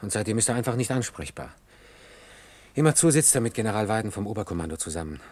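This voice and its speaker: Earnest, animated low-voiced male programmer. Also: quick pace, dry recording. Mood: apologetic. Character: earnest male junior programmer, obsessive, frustrated tinkerer. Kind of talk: German science-fiction radio play acting.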